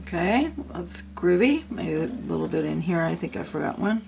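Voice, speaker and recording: Gravelly low-voiced woman teaching coloring. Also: lively intonation, normal speed, darkish tone, soft background music. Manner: chatty and encouraging, talks to herself while working. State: a little sick, sniffling. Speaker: coloring tutorial host. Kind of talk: casual step-by-step coloring tutorial.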